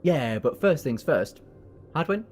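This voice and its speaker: A man's voice: a little high, sing-song, measured pace, a little mellow, slight background noise. A man playing a boastful, commanding alien time-traveller hero in an audio drama. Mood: brisk. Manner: self-assured, boastful.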